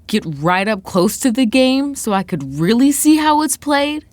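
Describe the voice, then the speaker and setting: Woman reading as an excitable wide-eyed baseball-mad boy. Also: a little high, measured pace, no room sound, pitched above the performer's own voice. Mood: awestruck excitement. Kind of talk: dramatic book reading.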